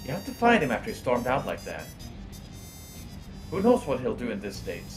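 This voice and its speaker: Man voicing a brisk, animated AI hologram lady. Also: mid-range voice, over music. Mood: urging. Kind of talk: amateur video game fandub.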